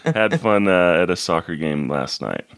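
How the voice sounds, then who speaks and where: A hoarse man with a very low voice co-hosts a casual podcast chat. He talks haltingly, restarting his words and leaning on fillers. The recording is dry and close. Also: lively intonation, a bit bright, no room sound. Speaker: podcast co-host.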